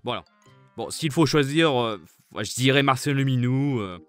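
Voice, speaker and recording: Hesitant man, casual answers, mid-pitched voice. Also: expressive, normal speed, dry recording, soft background music. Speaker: fandub group member. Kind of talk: casual answers to viewer questions.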